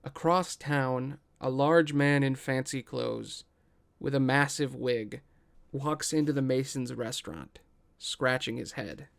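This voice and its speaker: A man's voice: medium pitch, slow pace, creaky, clear, resonant tone. A man hosting and narrating a scripted table read podcast.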